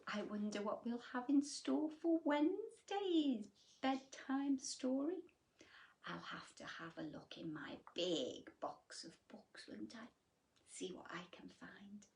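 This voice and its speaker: A woman reading a bedtime story to young children in an expressive, exaggerated way, with hushed asides to her listeners. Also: mid-range voice.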